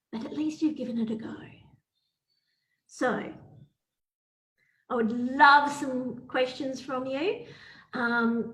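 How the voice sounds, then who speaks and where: A woman giving an informal promotional presentation to prospective students as a college representative, encouraging and emphatic, repeating key points. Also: a little high. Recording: roomy, echoing voice pickup.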